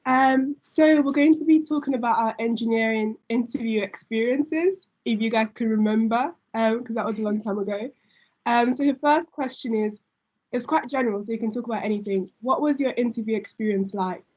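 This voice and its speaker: Young female student host, chatty and filler-heavy; slightly high voice, muffled recording. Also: a little fast, darkish tone. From Nigeria. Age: young adult. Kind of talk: casual group chat. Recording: dull lo-fi audio.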